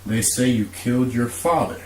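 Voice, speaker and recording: A man playing an authoritative, brisk police commissioner in an amateur radio drama. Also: mid-range voice, darkish tone, close to an everyday voice. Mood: businesslike. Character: authoritative middle-aged police commissioner, steady, businesslike. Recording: roomy echo, faint hiss.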